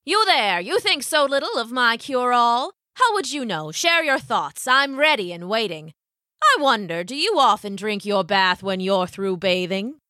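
A storybook huckster, acted out by a woman, pitching in a bright, sing-song patter. The delivery is theatrical and showy, swinging widely in pitch like a practiced stage salesman. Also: big pitch swings, unhurried, dry recording, studio quality, exaggerated voice. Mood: mocking, challenging.

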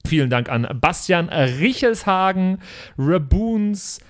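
A man's voice: high-pitched, animated, takes it slowly. A man hosting a podcast, reading out thanks to supporters in a casual, playful way.

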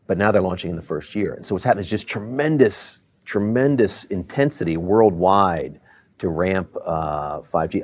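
A middle-aged man from Baltimore, a tech company chief, answering interview questions in a measured way with many fillers and restarts. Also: low-pitched, darkish tone, no echo. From Baltimore, Maryland. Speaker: tech company CEO guest.

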